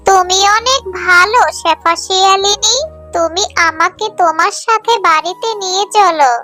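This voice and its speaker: Lady doing a kind sad magic cow, super high sharp plaintive voice, cartoon, bit of music behind. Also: moderate pace. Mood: grateful. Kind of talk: cartoon voice acting.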